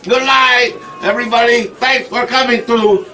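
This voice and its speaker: A man's voice: very high-pitched, fairly even intonation, moderate pace, pushed and bright, distant mic, pitched above the performer's own voice, full character voice. A man performing a comic character named Igor in put-on broken English, rambling and laughing a lot. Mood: boastful. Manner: broken grammar, frequent laughing. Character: foreign male hunchback-type comic Igor, boastful. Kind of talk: comic monologue.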